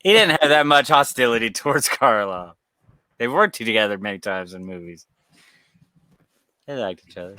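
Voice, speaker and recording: A man's voice: mid-range voice, lively intonation, moderate pace, pauses often, pushed and bright, no room sound, clean studio sound. A man co-hosting a podcast in loose, rambling chat, laughing often and swearing freely. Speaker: podcast co-host. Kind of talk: casual chat.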